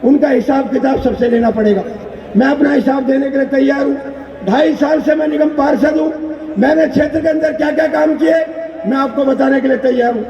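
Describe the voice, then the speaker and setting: A man giving a loud, declamatory campaign speech as a politician, using repeated phrases and rhetorical questions. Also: very high-pitched, vocal fry, dull audio. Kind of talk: political rally oratory. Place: large public political gathering. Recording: echoey PA at crowded rally, distant mic.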